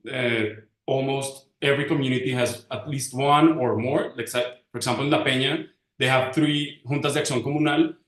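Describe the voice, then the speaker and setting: A man giving an informal presentation, pausing often as he searches for English words. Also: mid-range voice, a bit bright. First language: Spanish. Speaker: panelist presenting community research. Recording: roomy, echoing sound.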